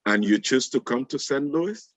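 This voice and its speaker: A man hosting a live online panel, speaking haltingly and often repeating words as he introduces and addresses guests. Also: medium pitch, expressive, no room sound. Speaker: panel host. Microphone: video call. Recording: muffled online call audio.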